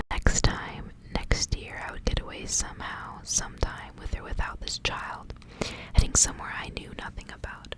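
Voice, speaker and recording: Whispering woman reading a story. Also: poor audio. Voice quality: breathy, unvoiced whisper. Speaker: ASMR reader.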